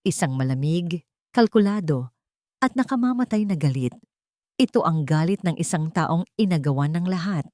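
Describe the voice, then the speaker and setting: Female narrator for romantic melodrama, lowish pitch, emotive and deliberate, dry studio sound. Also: lively intonation, mellow, no room sound. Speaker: story narrator. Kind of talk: dramatic scripted narration of a love story.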